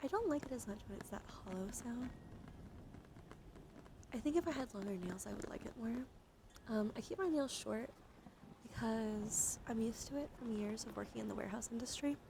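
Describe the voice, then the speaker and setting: Female ASMR streamer: soothing, intimate, partly whispered, fry in the voice, meandering chat, noticeable noise on the recording. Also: fairly high pitch, normal speed, long pauses. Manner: soft-spoken, soothing, meandering with gentle repetition.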